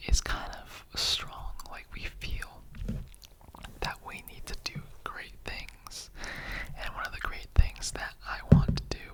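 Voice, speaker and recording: A performer acts out an immortal skeleton interrogator in an ASMR roleplay. The voice stays in a whisper throughout. The delivery is earnest and conversational, rambling through halting asides and repetitions. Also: lightly acted. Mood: earnest, curious. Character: chatty immortal skeleton interrogator, earnestly recruiting bone donors.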